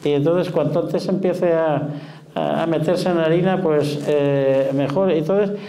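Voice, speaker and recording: A middle-aged man moderating a talk as club president, speaking in a measured, deliberate way. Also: fairly high pitch, mellow, low-quality recording. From Spain.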